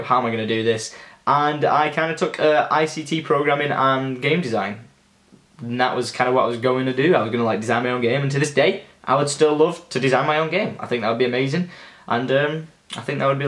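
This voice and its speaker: A nineteen-year-old man talks through a casual Q and A vlog, upbeat and rambling, full of "like" and "um". His voice sits at an ordinary middle pitch. The room around him gives a noticeable echo. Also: a bit bright. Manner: chatty, upbeat, rambling with fillers. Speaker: YouTuber. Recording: roomy echo.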